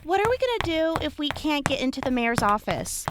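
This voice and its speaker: A woman's voice: high voice, very animated, brisk, background noise. An actress playing a bookish, sarcastic, blunt young woman on the run in an audio drama. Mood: skeptical. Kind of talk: scripted post-apocalyptic audio drama acting.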